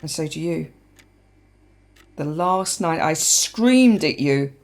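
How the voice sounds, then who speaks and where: An actress playing a wry, sharp, defiant woman in a naturalistic radio play. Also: low voice, lively intonation, takes it slowly, exaggerated voice. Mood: anguished confession. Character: adult woman, wry, sharp, defiant, confessing to her partner. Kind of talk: naturalistic radio play acting, dialogue and monologue.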